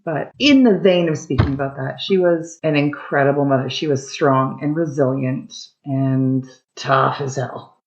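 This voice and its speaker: A woman with a low-pitched voice tells personal stories as a podcast guest. She is candid and emphatic, with colourful, colloquial turns of phrase, and the recording is a little dull and lo-fi. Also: unhurried, darkish tone. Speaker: podcast guest telling family memories. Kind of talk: conversational personal storytelling.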